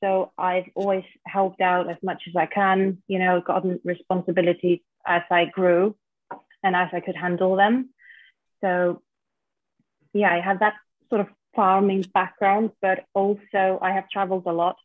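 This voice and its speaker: A woman in her thirties with an Icelandic accent talks easily as a podcast guest. She tells her story in a relaxed, plainspoken way. Her voice comes through a dry, tinny, phone-like line. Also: lowish voice, normal speed, no room sound. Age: 30s. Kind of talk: casual interview chat.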